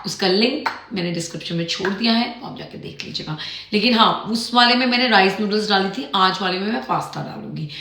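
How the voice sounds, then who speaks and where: Woman vlogger, chatty, mixes in English, cooking at home, mid-pitched. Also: fairly quick, a bit bright. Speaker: lifestyle vlogger. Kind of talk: casual chat to camera. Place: home kitchen while cooking.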